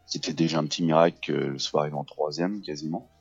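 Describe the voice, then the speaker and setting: A man's voice: very deep voice, fairly even intonation, fast talker, creaky, slightly rough, soft background music. A middle-aged man from France, a guest on a radio interview, talking casually with many fillers and restarts. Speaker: guest, actor and theatre teacher. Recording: dull lo-fi radio recording.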